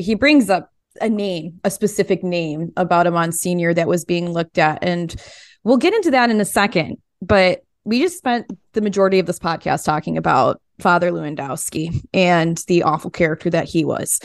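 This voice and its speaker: A woman's voice: fairly low pitch, moderate pace, no room sound. An American woman hosts a podcast interview in a casual conversational style. Her voice sits below average for a woman, in a very dry recording.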